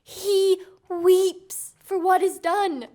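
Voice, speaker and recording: A woman playing a distraught queen in a Shakespearean audio drama, giving the verse slow, grave delivery. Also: very high voice, steady tone, warm tone, full character voice. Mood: grieving. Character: distraught queen and mother of a mad prince.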